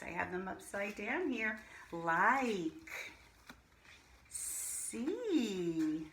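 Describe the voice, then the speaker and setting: A woman teaching a reading lesson to young children, pronouncing single words deliberately and speaking to the children directly. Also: medium pitch, pushed and bright. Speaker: primary school reading teacher. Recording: roomy echo, faint background noise.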